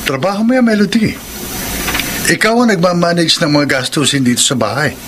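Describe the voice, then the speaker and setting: An actor playing a smug, irresponsible Filipino gambling husband in a radio drama, dismissive and quick to argue. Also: male voice, a little high, noisy background, lightly acted. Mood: irritated. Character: cocky, irresponsible gambling husband, adult man. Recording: music under the voice.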